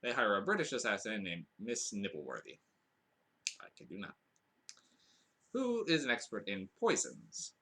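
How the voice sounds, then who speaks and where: A man's voice: on the low side, wildly swooping pitch, moderate pace, long pauses, bright, forceful tone. A man hosting a podcast, giving a casual, rambling film review full of fillers and restarts, with dry asides.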